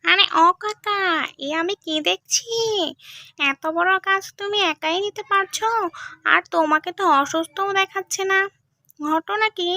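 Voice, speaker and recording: Woman doing a shrill, greedy little boy. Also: unhurried, sharp tone, no echo, clean studio sound, exaggerated voice. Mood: curious, sly. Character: greedy, sly, pushy young village boy. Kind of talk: cartoon story acting.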